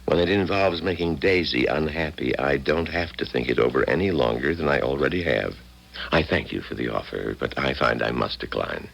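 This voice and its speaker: An actor in a 1970s radio drama playing a weary, honest older country doctor, plain-spoken and resigned. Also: male voice, a bass voice, moderate pace, gravelly, a bit bright, full character voice. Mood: firm, wary.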